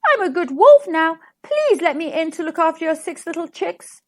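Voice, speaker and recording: A woman's voice: high-pitched, big pitch swings, moderate pace, fairly bright tone. A woman voicing an earnest, sensitive male wolf in a children's story, theatrical and eager to be liked. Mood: sweetly polite. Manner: polite, theatrical.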